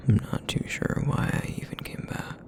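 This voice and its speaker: A man voicing a broody, feral essence eater in an ASMR roleplay, with a raspy, rumbling murmur and a weary, guarded, reluctant manner. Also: lightly acted. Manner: brooding, reluctant, slow and terse.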